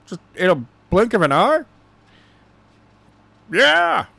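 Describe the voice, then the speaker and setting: Man playing a high-pitched, bewildered hired soldier. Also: big pitch swings, slow pace, creaky, bright, forceful tone, no echo, exaggerated voice. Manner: exclaiming, disbelieving. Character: startled, bewildered male hired soldiers in an action story.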